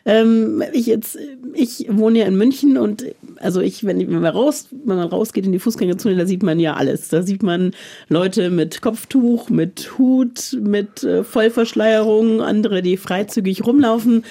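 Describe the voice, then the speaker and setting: A woman's voice: medium pitch, measured pace, mellow. A woman interviewer asking long, conversational questions with small hesitations and restarts. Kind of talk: radio-style interview questions.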